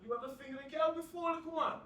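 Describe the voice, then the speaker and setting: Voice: sits high, steady tone, rapid-fire, a bit bright, voice pushed up. A performer voicing a cocky, menacing teenage street lad in a comic story, with slangy, mocking, swaggering talk. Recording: roomy, distant mic with faint noise.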